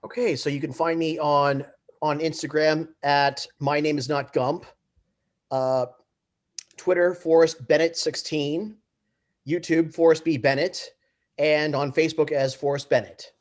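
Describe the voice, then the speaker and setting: Higher-pitched man talking casually. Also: steady tone, measured pace. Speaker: discussion panelist. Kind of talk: casual chat. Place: online group call.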